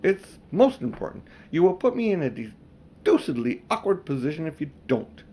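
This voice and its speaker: A man voicing a vain, foolish old gentleman magician in a storybook, with a pompous, old-fashioned, wheedling manner. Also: a little high, very animated, normal speed, slightly rough. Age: older.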